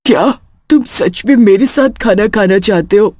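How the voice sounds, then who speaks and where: A woman's voice: mid-range voice, moderate pace, darkish tone, dry recording, clean studio sound, close to an everyday voice. A woman voicing a lonely female witch who runs a small food stall, coaxing yet menacing, in a cartoon horror story. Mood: wistful.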